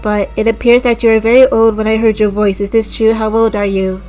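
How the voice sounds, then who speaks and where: A woman streamer reading a visual novel aloud with a slightly raspy voice, restarting over stumbled words, clearing her throat often and breaking off into disgusted asides to her chat. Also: a little high, level tone, fairly quick, mellow. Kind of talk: slow dramatic read-aloud with casual asides to chat. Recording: music playing clearly behind the voice.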